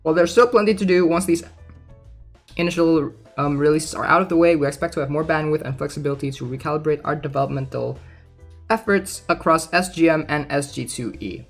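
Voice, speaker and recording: A man's voice: fairly high pitch, a little fast, gravelly. A man casually reading aloud and commenting in an informal, chatty way, like a gaming content creator. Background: background music.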